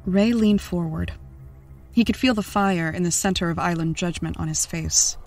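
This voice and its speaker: An actor playing a sardonic podcast sidekick and investigator in an audio drama, with a dry delivery. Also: male voice, high-pitched, moderate pace, a little mellow, faint music behind, close to an everyday voice. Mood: suspenseful reading.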